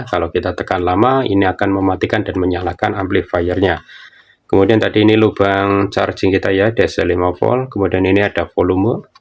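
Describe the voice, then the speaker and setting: A man's voice: deep voice, vocal fry, a little mellow. A man giving a casual product demonstration, explaining things informally and often repeating himself. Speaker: product reviewer.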